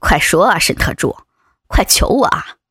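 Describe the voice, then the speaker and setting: A woman voicing a domineering, taunting male vice president in an adult audiobook, with a husky, creaky voice and a mocking, commanding manner. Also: fairly low pitch, animated, normal speed, fairly bright tone, no echo, clean studio sound, soft background music, voice pushed down, heavily acted. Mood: impatient, menacing. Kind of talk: dramatized adult audiobook dialogue.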